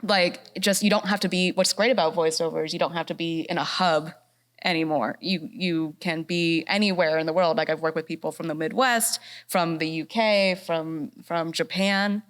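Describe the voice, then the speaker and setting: A woman's voice: lowish voice, fairly even intonation, moderate pace, fairly bright tone. A woman from North Carolina speaking as a voice actress on a festival panel, bubbly and upbeat. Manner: bubbly and upbeat, laughs often. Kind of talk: casual panel talk. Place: fine arts center stage.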